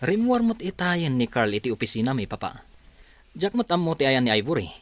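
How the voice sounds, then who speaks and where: A man's voice: medium pitch, big pitch swings, a little fast, natural voice. A man with an Ilocano accent playing the casual adult son of a company boss in a radio drama. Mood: informing.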